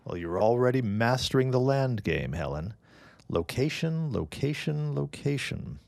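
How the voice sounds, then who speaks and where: An actor playing a courteous, earnest gentleman in a period audio drama, with a genial, expressive manner. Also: mid-range voice, measured pace, gravelly, no room sound. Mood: dry. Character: courteous, earnest widowed man, eager suitor, 1910s drama.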